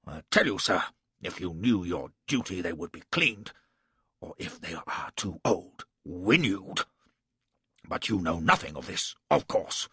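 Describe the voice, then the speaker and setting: A man voicing a pompous, bullying aristocratic cavalry lord with a raspy, gravelly bark, sneering and repeating "sir" with scorn in an audiobook. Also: on the low side, pushed and bright, no echo, full character voice. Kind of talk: audiobook character voice.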